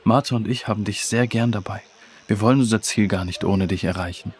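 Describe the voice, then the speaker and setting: Children's audio drama: gentle, reassuring young male friend man actor, lowish voice, protective and sincere. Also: a little fast, lightly acted.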